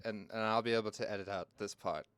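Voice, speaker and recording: A man chatting casually before a table read, halting and repeating his words. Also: fairly low pitch, fairly even intonation, fairly bright tone, smooth, no echo.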